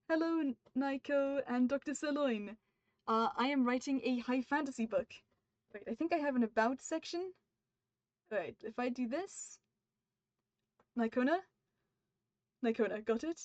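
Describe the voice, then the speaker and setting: A woman's voice: high voice, measured pace, long pauses, no echo. A woman from the UK streaming her writing session, reading her draft aloud and stopping mid-sentence to make edits and chat with viewers. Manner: upbeat and friendly with viewers. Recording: dull, muffled stream mic.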